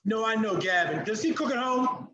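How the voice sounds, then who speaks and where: A man's voice: high voice, normal speed, sharp tone, lo-fi sound. An older man chatting casually with children as a chef, encouraging and affectionate, often repeating answers back. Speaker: chef and guest presenter. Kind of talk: casual interactive chat with children. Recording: echoey room with clear background noise.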